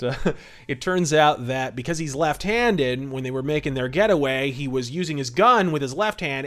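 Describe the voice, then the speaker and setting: A man hosting a podcast, giving enthusiastic, wordy and emphatic review commentary. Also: a little high. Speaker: podcast host.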